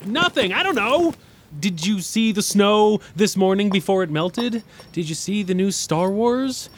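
A man's voice: high-pitched, animated, measured pace. An actor playing a bashful, lovelorn man in a comedic audio drama, hesitant and earnest. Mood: wistful, awkward. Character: awkward, bashful, lovelorn man, flustered and sentimental.

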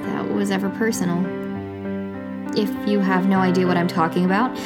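A woman's voice: medium pitch, close to an everyday voice. A woman playing a wry, reflective watchtower broadcaster in an audio drama, narrating in an intimate scripted style. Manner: reflective, dryly humorous.

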